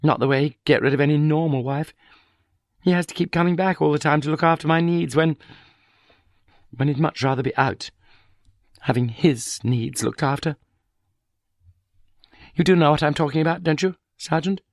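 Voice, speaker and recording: A resentful, sharp-tongued wife in a wheelchair, as a male narrator puts her on, pitched well above his own deep voice. She speaks in a raised, accusing tone in a dry, close audiobook recording. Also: moderate pace, long pauses, no room sound, lightly acted. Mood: bitter. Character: bitter, vindictive middle-aged wife in a wheelchair. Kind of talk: audiobook character voice.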